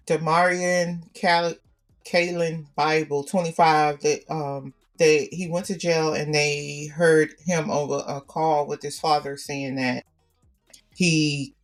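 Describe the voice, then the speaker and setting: A woman with a naturally deep voice talks through a casual podcast chat as co-host, repeating herself to drive a point home. She sits a little far from the mic, and soft music plays underneath.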